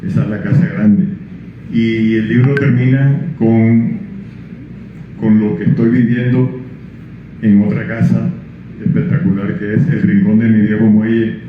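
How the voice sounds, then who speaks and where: A man's voice: lowish voice, level tone, background noise. Older man, echoey lo-fi sound. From Colombia. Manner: halting, with many restarts and fillers when speaking freely. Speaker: author presenting his book. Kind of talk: reading prologue, then answering questions. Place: book presentation event. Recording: echoey room over a tinny lo-fi line.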